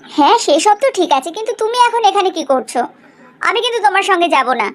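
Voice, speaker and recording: A woman's voice: very high voice, expressive, fast talker. A woman playing a confident, teasing young newlywed wife in a cartoon drama, with emphatic questioning. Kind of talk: animated cartoon drama dialogue.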